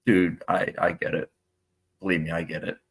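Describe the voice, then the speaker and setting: A man's voice: low-pitched, level tone, moderate pace. A young man hosting a casual live stream, reading out viewer comments and chatting loosely with plenty of fillers. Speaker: movie channel host.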